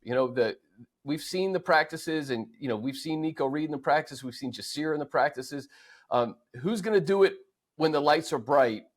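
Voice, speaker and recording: A man's voice: a little high, expressive, moderate pace. An American man hosting a sports show in a casual, conversational style. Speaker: sports podcast host.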